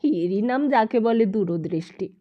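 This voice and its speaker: A woman narrating a literary story as an audiobook, in an expressive, emphatic, dramatic way. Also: mid-range voice, a little slow, highs cut off.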